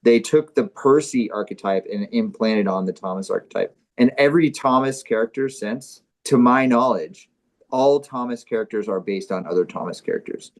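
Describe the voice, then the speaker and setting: A man performing on a comedy show, bantering casually and reading through a script in a mock-serious, deadpan way. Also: medium pitch, normal speed, rough recording.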